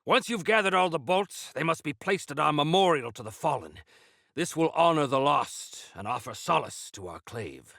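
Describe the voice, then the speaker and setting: An actor playing a proud, stalwart older leader of mountain folk in a video game, with a rough gravelly voice and theatrical, old-fashioned formal phrasing. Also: male voice, on the high side, lively intonation, moderate pace, bright, forceful tone, no room sound, clean studio sound, exaggerated voice. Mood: encouraging. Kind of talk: video game character acting.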